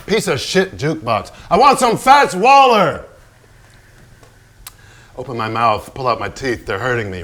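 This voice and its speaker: A man's voice: mid-range voice, fairly bright tone, natural voice. A man on stage playing a self-destructive, hard-drinking, raging painter in a theatrical monologue, declaiming in short blunt phrases. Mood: boastful, bitter. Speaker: stage actor in a staged reading.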